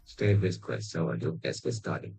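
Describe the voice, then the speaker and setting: A man giving casual gameplay commentary in a halting, mumbled way. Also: rumbling low, expressive, gravelly, a little mellow, breathy edge, soft background music. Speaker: game streamer.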